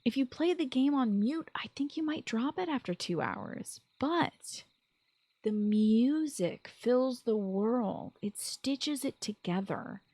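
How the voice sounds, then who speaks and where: A woman hosting a solo podcast, reading scripted commentary with expressive, enthusiastic asides. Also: on the high side, slow, dry recording. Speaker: podcast host.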